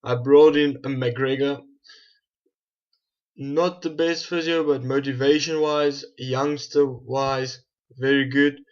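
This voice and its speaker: Low, flat-toned South African man, muffled audio. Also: slow. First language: Afrikaans. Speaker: gaming video host. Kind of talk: casual gameplay commentary. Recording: dull, muffled lo-fi home recording.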